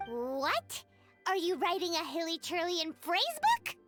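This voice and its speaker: A woman voicing a tiny, childlike, bossy and excitable fairy companion in a video game, with a squeaky, cheeky delivery. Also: measured pace, soft background music, full character voice. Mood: indignant. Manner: cheeky, exclamatory, refers to self in third person. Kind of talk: video game cartoon voice acting.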